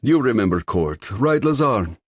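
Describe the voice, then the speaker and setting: A man's voice: medium pitch, sing-song, a little slow, no room sound, clean studio sound, pitched above the performer's own voice. A man voicing a stern, controlling father who gives firm warnings. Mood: cordial. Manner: commanding, warning.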